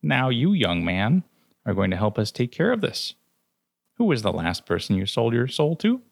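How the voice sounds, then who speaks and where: A man voicing an old, hunched female fate goddess who talks in a brisk, knowing, grandmotherly way. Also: medium pitch, big pitch swings, gravelly, no echo, studio quality, voice pushed up.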